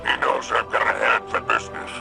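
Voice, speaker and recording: A man's voice: a little fast, music in the background, close to an everyday voice. A man voicing a greedy, wheedling alien crime boss in a video game, talking like a sly salesman. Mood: sly, coaxing. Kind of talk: video game cutscene acting.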